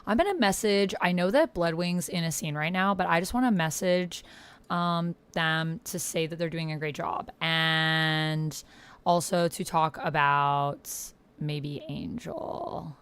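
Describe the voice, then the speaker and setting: Low-pitched woman chatting on a stream. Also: a little slow, a bit bright, smooth. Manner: chatty, informal, thinking aloud while typing. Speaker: streamer hosting a solo actual-play roleplaying game. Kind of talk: casual livestream chat, reading out typed roleplay. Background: computer keyboard typing.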